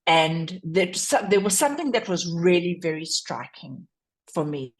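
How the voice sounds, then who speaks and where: Slow-spoken South African woman panelist. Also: lowish voice, sing-song. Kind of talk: panel discussion answer.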